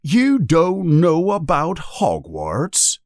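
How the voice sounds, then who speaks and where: Storybook giant , male reader: warm-hearted, short-fused, emphatic, slow drawn-out delivery, very animated pitch. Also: no room sound, clean studio sound, full character voice. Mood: shocked, indignant. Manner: emphatic, exclamatory, drawn-out words. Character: booming, warm-hearted, hot-tempered giant man, a gamekeeper.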